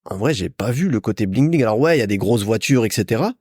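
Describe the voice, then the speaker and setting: A man's voice: on the low side, animated, fast talker, darkish tone, slightly rough, dry recording, clean studio sound. A young man from France hosting a solo podcast, telling stories in a chatty, informal way as if to a friend. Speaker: podcast host. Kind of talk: casual solo podcast monologue, storytelling.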